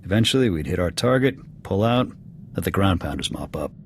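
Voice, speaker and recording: A man playing a gruff veteran male sniper officer speaking in clipped, matter-of-fact reports in a video game. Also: low voice, lively intonation, a little fast, slight background noise. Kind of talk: video game cutscene acting.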